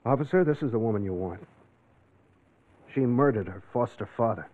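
A man's voice: medium pitch, expressive, moderate pace, very noisy, close to an everyday voice. An actor playing a cool, wry newspaper reporter in his twenties in a classic radio crime drama. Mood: firm, accusing. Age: 20s. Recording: muffled, phone-like old radio recording.

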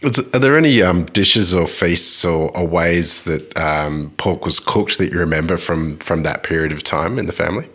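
A man hosting an interview, asking relaxed questions with some hesitation. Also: low voice, moderate pace, hardly any pauses. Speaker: podcast host.

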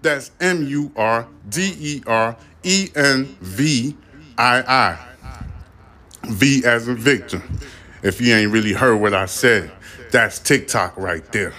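A man's voice: mid-range voice, takes it slowly, pushed and bright. A man hosting his own podcast, giving a blunt, profane, ranting opinion monologue. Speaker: podcast host.

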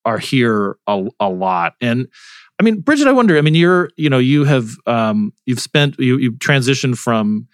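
A man's voice: mid-range voice, sing-song, moderate pace, no room sound, clean studio sound. A man hosting a conversational political news discussion, asking questions in a rambling, self-interrupting way. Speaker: host.

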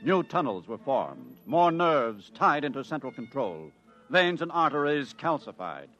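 A man's voice: medium pitch, very animated, unhurried, a bit bright. A man playing a grave, dramatic narrator of pulp science fiction in scripted old-time radio narration. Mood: measured. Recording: dull old radio recording. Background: music.

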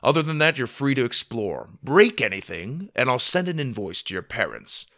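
A grumpy old grandpa from a children's storybook, put on by a man. He is gruff and curt, brushing people off. The pitch is medium with lively turns. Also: normal speed. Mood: grumpy, dismissive.

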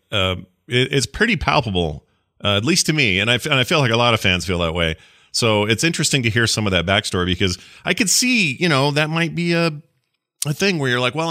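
A man's voice: medium pitch, sharp tone. A man from the United States hosting an interview, speaking earnestly and at length in a casual, rambling way. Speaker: interviewer host.

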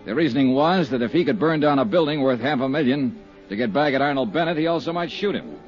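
A man's voice: mid-range voice, expressive, fast talker, soft background music, lightly acted. An actor playing a hard-boiled male insurance investigator in a 1950s radio drama, narrating in clipped, dry first person. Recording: dull lo-fi old radio broadcast.

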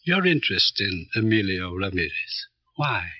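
An actor playing a smug, calculating middleman who runs a barrio, talking in a smooth, condescending, pompous way in an old radio crime drama. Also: low-pitched, sing-song, normal speed, no echo, lo-fi sound. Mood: slyly amused.

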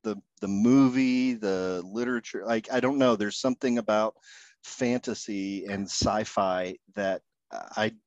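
A relaxed man in his thirties co-hosts a casual podcast. His voice sits a bit low, and he talks with easy asides and frequent "ums". The recording is dry, with no room echo. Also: measured pace, muffled recording. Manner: easygoing, relaxed, with many ums and rambling asides. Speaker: podcast co-host. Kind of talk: casual chat.

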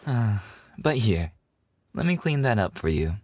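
A man's voice: lowish voice, very animated, unhurried, a little mellow. A man voicing a playful, affectionate animal-boy maid café server in an ASMR roleplay, teasing and giggly in a cutesy way.

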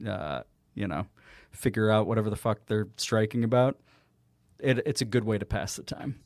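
A man's voice: fairly low pitch, a little mellow, no room sound, studio quality. Easygoing male podcast co-host. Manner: easygoing, drawn-out, swears. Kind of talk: casual chat.

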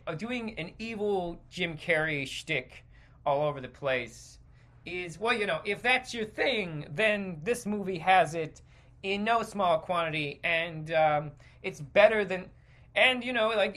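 A man hosting a podcast, giving a casual, rambling opinion rant with halting, stop-and-start phrasing and informal swearing. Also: a little high, pushed and bright. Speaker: podcast host.

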